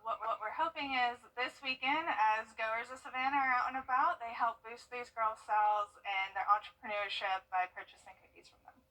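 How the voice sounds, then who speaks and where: A woman's voice: on the high side, fairly quick, few pauses, sharp tone, far from the mic, poor audio. A woman, a community engagement manager, explaining things upbeat in a news interview. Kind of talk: interview soundbites.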